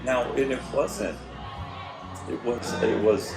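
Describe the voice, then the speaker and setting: A middle-aged man telling his story as an interview guest, slightly raspy, with lots of restarts and fillers. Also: medium pitch, steady tone, slow. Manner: hesitant, many restarts and fillers. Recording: echoey room with music behind.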